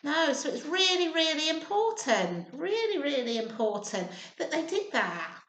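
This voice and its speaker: Woman, children's storyteller, very lively intonation, bright tone, sing-song, encouraging, echoey room. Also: high voice, measured pace. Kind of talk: animated children's storytime reading with questions to listeners.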